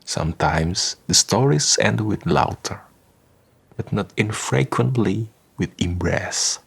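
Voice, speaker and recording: A man's voice: fairly low pitch, animated, slow, gravelly, slightly rough. A man reading scripted narration for a radio drama. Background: music.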